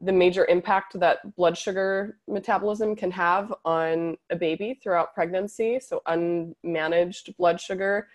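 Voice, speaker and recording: A woman dietitian, a podcast guest with a slightly hoarse voice, explaining fluently. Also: lowish voice, steady tone, measured pace, hardly any pauses. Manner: fluent, explanatory, occasional laughs. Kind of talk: podcast interview.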